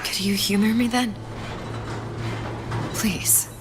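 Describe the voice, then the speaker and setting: A young woman acting a clever, lonely, guilt-ridden heroine in a video game, with a breathy, emotional delivery. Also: mid-range voice, fairly even intonation, slow, lightly acted. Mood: lonely, pleading. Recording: music under the voice.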